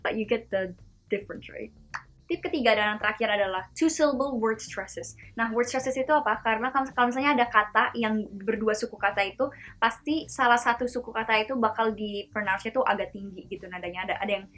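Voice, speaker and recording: A woman from Indonesia hosting a casual vlog-style lesson, chatty and slowing down to demonstrate words one at a time. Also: a little high, a bit bright. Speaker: video host teaching pronunciation.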